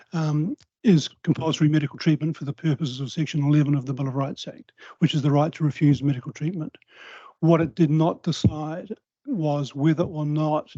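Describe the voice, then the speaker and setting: A New Zealand man speaking at a council public forum, giving a measured, precise legal briefing in a quiet voice. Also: a little high, no room sound. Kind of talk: formal legal presentation. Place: council chamber. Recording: slightly dull, dry council meeting recording.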